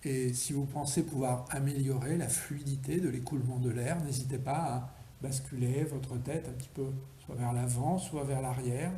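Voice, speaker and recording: A man guiding a relaxation meditation as a yoga instructor, speaking slowly and deliberately, with pauses between instructions. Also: medium pitch, fairly even intonation, dark tone. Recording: roomy echo, faint background noise.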